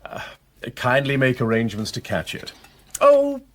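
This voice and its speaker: An actor playing an eager, courteous aristocratic detective with an upper-class English accent in a British radio drama. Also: male voice, mid-range voice, wildly swooping pitch, moderate pace, a bit bright. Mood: thoughtful. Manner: urbane, courteous, hesitant musing.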